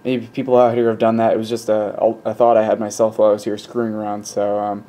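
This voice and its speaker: A man's voice: lowish voice, quick pace. A man giving a casual technical explanation, thinking aloud in a hesitant, rambling way at a workbench. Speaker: host of an electronics hobby channel. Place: indoor electronics workbench. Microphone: camera mic behind the lens. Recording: steady static noise, handheld camera mic. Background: radio static from a speaker.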